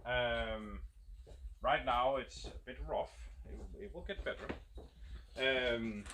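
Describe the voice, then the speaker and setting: A man's voice: mid-range voice, slow pace, sharp tone, a little hiss or hum. A man with a Danish accent, a game designer casually explaining a new roleplay setup while thinking aloud and searching for words.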